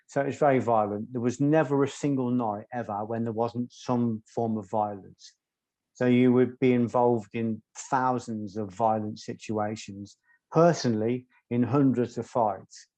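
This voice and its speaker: A soft-spoken man, a guest telling stories in an interview in a measured, articulate way. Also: mid-range voice, steady tone, no echo. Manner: measured, articulate, occasional ums. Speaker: guest, former doorman. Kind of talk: reflective interview storytelling.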